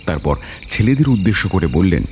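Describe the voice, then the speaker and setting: A man's voice: sits low, sing-song, fairly quick, darkish tone, slight background noise. A man reading a scripted story as its narrator, with dramatic delivery.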